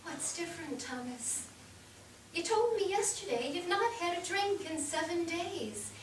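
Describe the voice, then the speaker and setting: A woman playing a burdened, firm nineteenth-century wife and mother in a staged reading, speaking in a measured way. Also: very high-pitched, animated. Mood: measured, guarded. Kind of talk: staged reading of a period drama. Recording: echoey theatre, distant mic, faint noise.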